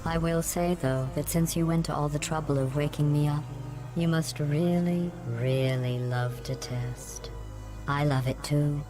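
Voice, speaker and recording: A woman voicing a vengeful, coldly polite computer in a video game, deadpan, measured and passive-aggressive. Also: low-pitched, music in the background. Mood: mock-pleasant.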